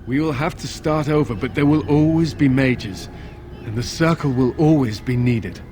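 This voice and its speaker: A man's voice: medium pitch, normal speed, darkish tone, slight background noise, natural voice. Man acting a solemn, formal fantasy ally. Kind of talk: fantasy game dialogue.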